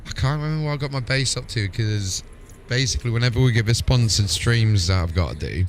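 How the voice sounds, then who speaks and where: A man's voice: medium pitch, animated, brisk, faint music behind. A man chatting casually and informally as a live game streamer, in a matey way with the odd mild swear. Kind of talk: casual gaming livestream chat.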